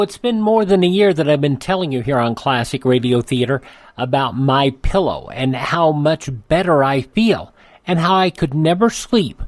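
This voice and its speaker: A man's voice: fairly high pitch, no echo. An older man hosting a radio show, reading ads in a chatty, rambling, conversational way. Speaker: radio host. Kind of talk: casual ad read.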